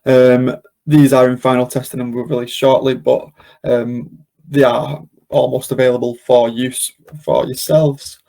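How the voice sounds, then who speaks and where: A man presenting an informal step-by-step software tutorial, explaining as he goes in an unscripted, hesitant way with frequent ums. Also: medium pitch, level tone, a little slow, creaky. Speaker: presenter of a software demonstration webinar.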